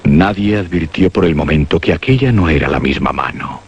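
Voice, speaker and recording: A man giving a dramatic, scripted radio narration of a horror story, with suspenseful pauses. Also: very deep voice, breathy edge. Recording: muffled radio drama recording. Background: prominent music.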